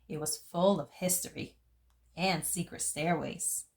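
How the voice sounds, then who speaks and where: A woman reading a picture book aloud as a storyteller, phrasing it deliberately for young listeners. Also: sits low, fairly even intonation, gravelly, darkish tone. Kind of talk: expressive picture book narration.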